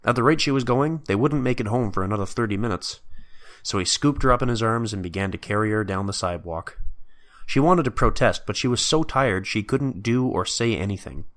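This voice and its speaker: Male narrator for prose fiction, low-pitched voice, scripted storytelling read. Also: expressive, a little fast.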